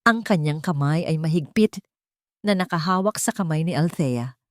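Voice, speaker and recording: A woman's voice: deep voice, lively intonation, moderate pace, dry recording, studio quality. A woman storyteller reading scripted love-story drama in a solemn, dramatic manner.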